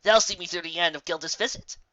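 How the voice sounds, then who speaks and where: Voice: medium pitch, fast talker, sharp tone, dry recording, muffled recording, pitched above the performer's own voice, natural voice. Someone voicing a brash, smug young pegasus mare. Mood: smug, flippant. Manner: flippant, dismissive drawl.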